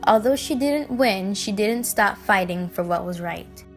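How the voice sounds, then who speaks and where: A woman reading a scripted documentary narration in a measured, read-aloud way. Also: mid-range voice, animated, faint music behind.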